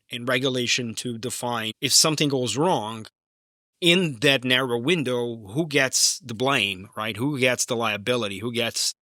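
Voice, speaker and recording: A man's voice: mid-range voice, pushed and bright, no echo, clean studio sound. A man hosting a podcast in a casual, conversational interview style, often rephrasing and repeating his words. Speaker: podcast host.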